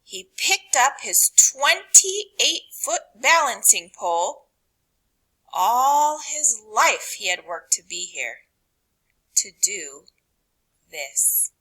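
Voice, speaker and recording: A woman reading a children's picture book aloud in an expressive, dramatic way, with deliberate pauses and hushed phrase endings. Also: on the high side, husky, low-quality recording.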